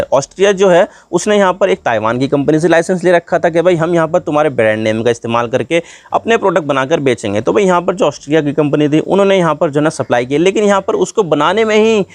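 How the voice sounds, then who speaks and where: Fast-talking man explaining current affairs. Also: on the high side, dry recording. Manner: conversational, repetitive filler phrases, addresses viewers as brother. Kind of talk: informal news explainer, talking to viewers.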